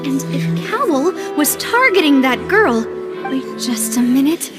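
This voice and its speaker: Confident theatrical young lady boss, game cutscene, high swoopy voice, music behind. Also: big pitch swings. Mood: urgent. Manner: brisk, commanding, coaxing. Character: bold, confident young lady gang leader, cheeky and theatrical. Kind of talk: dramatic game cutscene acting.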